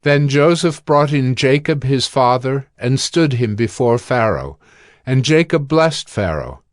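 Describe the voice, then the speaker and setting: A man narrating scripture in a solemn, formal, measured scripted style. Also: mid-range voice, expressive, dry recording, clean studio sound. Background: soft music.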